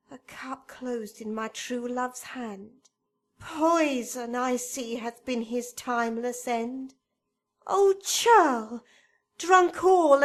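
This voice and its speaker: An actress playing a grief-stricken teenage girl in love, speaking tragic Shakespearean verse for radio drama. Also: high voice. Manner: lingering, tragic, hushed to crying out.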